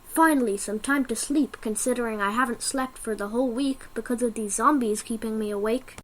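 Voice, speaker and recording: A woman's voice: a little high, brisk, close to an everyday voice, low-quality recording. A woman voicing a panicked, fed-up male government minister fleeing zombies in a dramatic, exclamatory way. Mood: weary.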